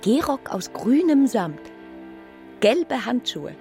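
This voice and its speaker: An actress playing a languid, discontented young doctor's wife with a soft voice in a radio drama. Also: female voice, on the high side, very animated, slow, gravelly, soft background music, full character voice. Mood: dreamy.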